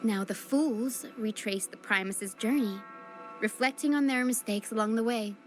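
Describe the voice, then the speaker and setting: Video game role: actress as earnest young female guide, caring yet solemn, slightly high voice, measured lines over music. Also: natural voice. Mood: solemn, explanatory. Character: caring young woman guiding through a ghostly mist, solemn and gentle. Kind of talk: scripted video game acting.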